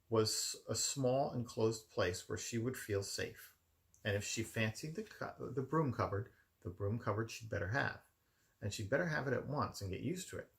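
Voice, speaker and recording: A man with a gravelly voice reading a children's story expressively, narrating from a written text in his room at home. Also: lowish voice. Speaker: amateur storybook reader.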